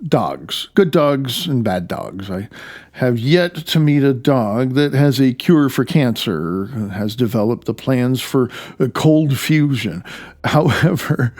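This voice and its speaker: A man's voice: mid-range voice, animated, slow, darkish tone. A man narrating his own audiobook as its author, in a conversational way with wry, sardonic asides.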